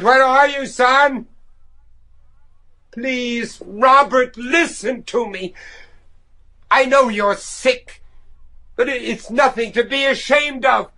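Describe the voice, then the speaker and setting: An actor playing an older, devout judge and anguished father in a gothic horror radio drama, speaking gravely and haltingly with theatrical weight. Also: very high voice, pushed and bright, dry recording, full character voice. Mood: desperate, pleading. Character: anguished, devout judge and father seeking his lost son.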